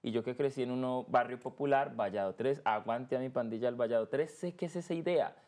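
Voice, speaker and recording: Cali guy hosting a chill podcast chat, drags out words for emphasis, middle voice. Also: mid-range voice. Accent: Colombian, from Cali. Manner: expressive, slows down to stress words. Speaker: podcast host. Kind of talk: casual interview.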